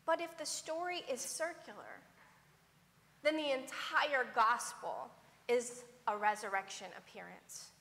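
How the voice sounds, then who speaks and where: A middle-aged woman preaches from a pulpit microphone in a large sanctuary, her high voice carrying a long, ringing reverb. She speaks slowly and deliberately, letting phrases fall away to a near hush. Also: animated. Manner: measured, softening to a hush at phrase ends. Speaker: pastor. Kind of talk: sermon. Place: large church sanctuary before a pipe organ.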